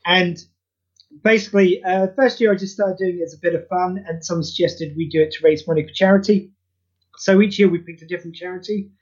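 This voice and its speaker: A man's voice: high voice, fairly even intonation, fairly quick. A man from the UK, a guest chatting about the audio play he writes, hesitant and full of fillers, self-mocking. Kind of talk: casual chat. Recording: dull lo-fi audio.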